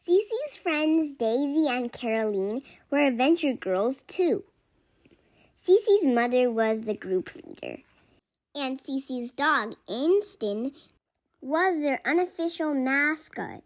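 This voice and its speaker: A woman reading a children's picture book aloud in an expressive way, acting out the dialogue. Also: high voice, slow pace. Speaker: storybook reader for children.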